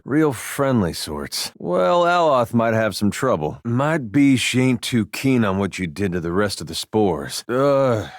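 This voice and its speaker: An actor voicing an easygoing, good-hearted farmer turned adventurer in a video game, with a folksy, dryly funny delivery. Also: male voice, on the low side, dry recording. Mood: amused, casual. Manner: folksy, laid-back, dry deadpan humor. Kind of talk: video game character dialogue.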